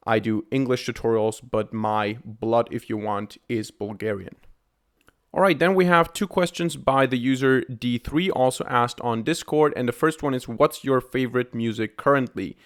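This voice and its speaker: A man, a programming YouTuber answering viewer questions to camera in an explaining way, often pausing on "uh". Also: medium pitch, clean tone. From Austria. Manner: explanatory, frequent "uh" fillers. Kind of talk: Q&A talk to camera.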